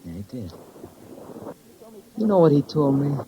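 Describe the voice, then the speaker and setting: An actor playing a bitter, desperate American murder suspect, emotional, in 1950s radio crime drama style. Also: male voice, fairly high pitch, very animated, measured pace, lo-fi sound. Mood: bitter, defeated. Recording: noisy early 1950s radio recording.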